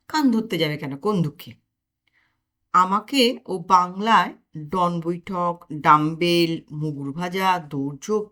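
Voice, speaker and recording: A woman narrating a comic story in an expressive storytelling way. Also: fairly low pitch.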